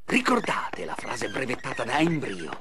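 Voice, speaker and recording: A man's voice: mid-range voice, wildly swooping pitch, normal speed, background noise. A man voicing a boastful, resentful mad scientist sidekick in an Italian cartoon game dub, grandiose and erratic. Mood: grandiose, ranting. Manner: grandiose, erratic, over-the-top.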